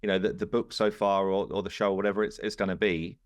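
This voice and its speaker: A man's voice: low voice, flat delivery, quick pace. A man chatting casually on a podcast as he asks questions and muses.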